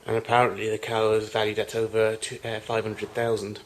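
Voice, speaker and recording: A man speaks in a low register with very little rise or fall in pitch. He gives an unscripted commentary, like a collector walking viewers through his things at home. The amateur recording carries a light layer of noise. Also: monotone, brisk. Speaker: collector giving a tour of his machines. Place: home garage with loft. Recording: noisy amateur camcorder audio.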